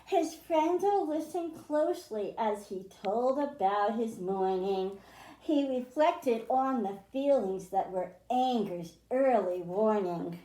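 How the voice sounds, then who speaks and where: A woman hosting a library storytime, reading a picture book aloud to young children with expressive, deliberate phrasing. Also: fairly high pitch, roomy sound, rough recording.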